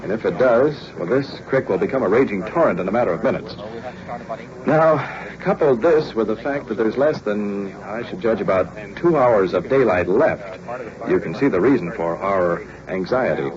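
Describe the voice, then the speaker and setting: A low-pitched American man reports from the scene as a radio host riding with police. He speaks deliberately and off the cuff, in the style of a 1950s documentary. The vintage recording is dull, with people audible behind him. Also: lively intonation, a crowd in the background. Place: on location in police station and outdoors.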